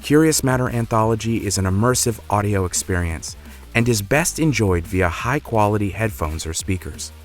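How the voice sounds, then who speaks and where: A man reading a scripted introduction as the announcer of an audio drama podcast. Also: fairly low pitch.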